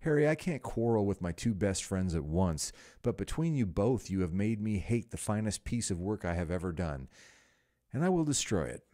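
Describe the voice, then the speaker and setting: An earnest, devoted painter who is hurt and pleading, as a male reader puts him on. He has a deep, dark voice with wide, lively swings in pitch. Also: normal speed. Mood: bitter.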